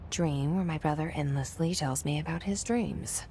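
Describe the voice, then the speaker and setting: The weary inner voice of a video game heroine, guarded and wry. She mutters dryly in a lowish register, with plenty of vocal fry. Faint noise sits behind her. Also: moderate pace, mellow. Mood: weary, resolute. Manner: dry, muttered, sardonic. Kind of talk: internal monologue in a video game.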